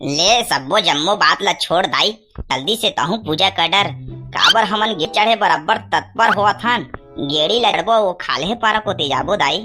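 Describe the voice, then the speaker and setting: A woman's voice: fairly low pitch, animated, moderate pace, music in the background, natural voice. A woman voicing an earnest, silly village boy in a cartoon comedy, with a Chhattisgarhi accent. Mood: eager.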